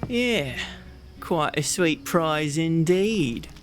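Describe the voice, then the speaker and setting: A man's voice: a little high, lively intonation, very slow, faint music behind. A man playing a jovial city gate guard in a fantasy ASMR roleplay, with a folksy, teasing manner. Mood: teasing, amused.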